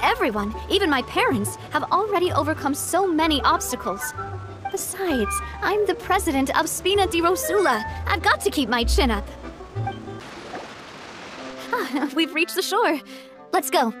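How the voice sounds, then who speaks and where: Game heroine: high-pitched young female boss, warm, determined, wistful but bright, over music. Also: lively intonation, moderate pace. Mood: resolute. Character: young woman leader, warm, resolute, wistful yet upbeat. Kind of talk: video game character acting.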